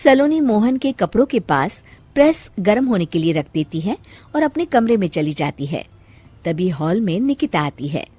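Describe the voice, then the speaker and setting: A woman's voice: medium pitch, big pitch swings, normal speed, darkish tone, husky, dry recording. A woman narrating a cartoon story from a script.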